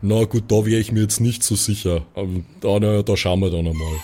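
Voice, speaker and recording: A man voicing a gruff, folksy strongman with a Bavarian accent in an improvised tabletop audio play. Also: fairly low pitch, mellow, faint music behind, close to an everyday voice. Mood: stern, unimpressed. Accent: southern German-Austrian Bavarian. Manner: folksy, unhurried, hesitant.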